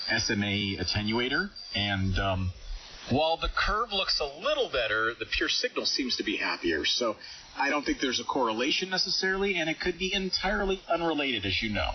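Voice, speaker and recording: A man's voice: medium pitch, sing-song, moderate pace. A man chatting casually as an amateur radio operator over a two-way radio, thinking aloud and reading out figures in an informal way. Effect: two-way ham radio transmission. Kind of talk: casual radio chat, talking through equipment readings. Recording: hissy, dull, distant shortwave radio audio.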